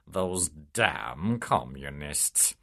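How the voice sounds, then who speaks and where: A man's voice: very deep voice, animated, drawn-out words, pushed and bright, pitched below the performer's own voice, heavily acted. A man doing a sly, cheery devil. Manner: cheery, drawling, ingratiating. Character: sly, cheery male devil, smooth-talking.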